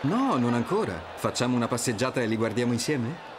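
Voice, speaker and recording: Man playing an animated young prince. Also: medium pitch, a little fast, noisy background, natural voice. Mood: gentle. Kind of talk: animated game dub. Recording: crowd and street ambience behind.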